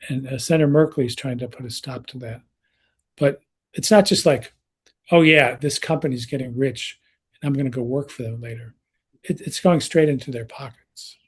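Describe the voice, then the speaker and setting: A man's voice: medium pitch, normal speed, husky. A man in his sixties speaking on a panel in a conversational way, with many restarts and fillers, over a video call. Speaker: researcher and speaker. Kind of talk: panel talk.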